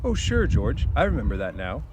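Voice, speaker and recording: A man's voice: mid-range voice, wildly swooping pitch, brisk. A man voicing a timid, simple-minded, childlike man in a storybook, hesitant and pleading, eager to please. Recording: very noisy outdoor recording, wind, traffic.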